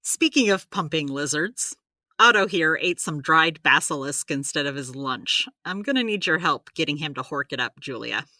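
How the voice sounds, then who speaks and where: A woman playing a brisk, matter-of-fact supernatural healer in an audio drama. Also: sits low, animated, bright, forceful tone, dry recording. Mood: unruffled, busy.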